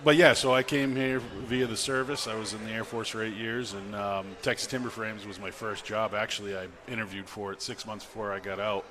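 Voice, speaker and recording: A man's voice: on the low side, steady tone, fairly quick, few pauses. A man from Massachusetts chatting as an interview guest, wry and self-deprecating. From South Boston, Massachusetts. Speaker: guest, timber frame carpenter and salesman. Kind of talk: casual interview chat. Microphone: broadcast microphone. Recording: noisy live event background.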